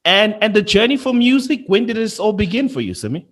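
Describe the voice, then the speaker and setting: A man's voice: high-pitched, big pitch swings, brisk, fairly bright tone. A man hosting an interview in an enthusiastic, casual way, often repeating words as he gets started. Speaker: interview host.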